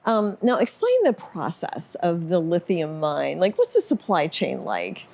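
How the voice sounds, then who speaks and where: A woman's voice: lowish voice, wildly swooping pitch, a little slow, creaky, darkish tone, a little hiss or hum. A woman hosting a business interview, asking brief questions and prompting her guest. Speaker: interview host.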